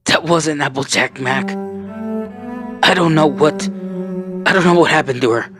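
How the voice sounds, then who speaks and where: A man voicing a distressed, emotional tomboy teenage girl in an animated-series fan story, pleading and hurt. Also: fairly high pitch, normal speed, over music, voice pushed up, natural voice. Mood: agitated ranting.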